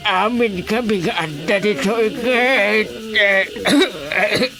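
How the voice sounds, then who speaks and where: A woman with an Ilocano Filipino accent voicing a solemn, deliberate elder who bears grim news in a radio drama. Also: medium pitch, over music. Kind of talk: radio drama comedy acting.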